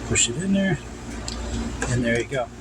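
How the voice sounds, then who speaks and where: A man's voice: medium pitch, expressive, moderate pace. A man giving an unscripted, instructional hands-on demonstration of vintage radio work, repeating his cautions as he goes. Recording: loud hum and background noise.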